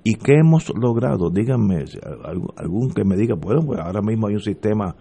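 A man's voice: lowish voice, animated, brisk, vocal fry, dark tone, husky. A man hosting a talk radio show, giving political commentary with emphatic rhetorical questions and frequent restarts.

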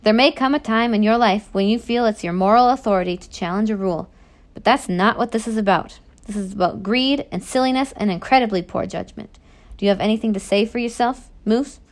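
A woman voicing a stern, angry middle-aged male prison warden in a storybook, lecturing children forcefully. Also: medium pitch, fairly quick, natural voice.

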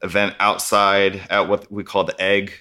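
Upbeat low-voiced man from New York. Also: little pitch movement, normal speed, sharp tone, clean tone. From Schenectady, New York. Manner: upbeat, enthusiastic, easygoing. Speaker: DJ and breakdancer guest. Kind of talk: casual interview chat.

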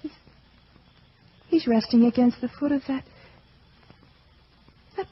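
A woman's voice: high-pitched, slow, breathy edge, full character voice. An actress playing a frightened, fragile young woman in an old-time radio drama. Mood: shaken. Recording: muffled old radio recording with music.

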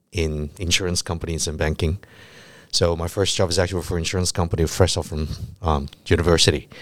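A man in his fifties, raised in Canada after a Hong Kong birth, talking as a guest with many pauses and restarts. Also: low voice, no echo. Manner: hesitant, many fillers and restarts. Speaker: insurance executive, guest.

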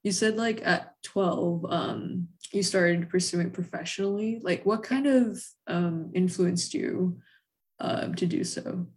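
Young woman podcast host, bit lower voice, casual, halting, says like a lot. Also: a little mellow. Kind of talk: casual conversational interview.

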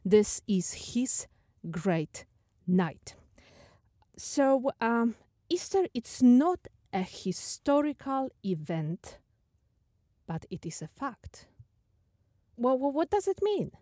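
A woman with a Polish accent giving a thoughtful, questioning talk on faith as a podcast host, sometimes halting over her words. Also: a little high, big pitch swings, dark tone, no echo, studio quality.

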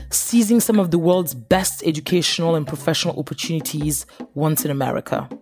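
A woman's voice: lowish voice, darkish tone. A woman from Africa hosts a podcast interview, talking in an eager, effusive way. She speaks over a video call, often restarting her sentences as she goes. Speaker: podcast host.

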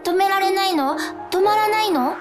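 Scared kid flower bud, high plaintive voice, radio drama w/ music. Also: female voice, normal speed. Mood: curious, anxious. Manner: childlike, plaintive. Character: frightened, questioning young bud told to become a flower. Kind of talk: whimsical radio drama acting.

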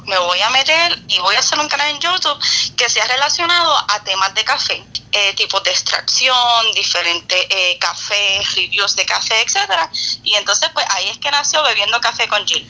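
A young Puerto Rican woman chatting casually as an interview guest, explaining things in an expressive, talkative way. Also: medium pitch, moderate pace, dull audio. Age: young adult. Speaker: guest, barista and coffee YouTuber.

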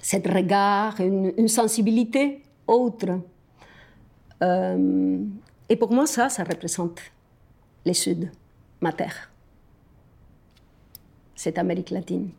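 Slow, mellow woman with an Argentine accent. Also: mid-range voice, gravelly. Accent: Argentine Spanish. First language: Spanish. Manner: hesitant, searching for words. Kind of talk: personal reflective talk to a companion.

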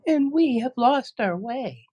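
An earnest, polite little girl with a bright, childlike voice. She speaks very high, with a lively lilt, and her voice has a slightly husky edge. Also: slow pace, highs cut off, full character voice.

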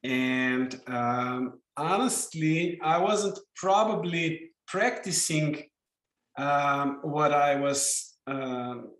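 A middle-aged man with a Slovenian accent speaks slowly and haltingly, pausing on plenty of "uh"s as he thinks aloud. His voice sits in an ordinary male range. The sound carries a noticeable roomy echo. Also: medium pitch, a bit bright. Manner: hesitant, many uh and um fillers. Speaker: guest, intuitive healer and author. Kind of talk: reflective storytelling in interview.

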